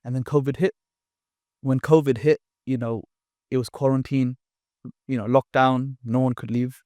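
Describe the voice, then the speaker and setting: A man from the UK, a podcast guest, telling his story in a relaxed way, with fillers and restarts. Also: mid-range voice, moderate pace, no room sound, studio quality. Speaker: guest, content creator. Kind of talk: casual podcast interview.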